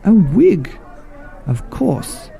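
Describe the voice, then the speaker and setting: Storybook Missus Frog type, played by a man: female shopkeeper, gentle, helpful, lifted questioning voice. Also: sits high, huge pitch swings, drawn-out words, creaky, soft background music, pitched above the performer's own voice, exaggerated voice. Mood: excited.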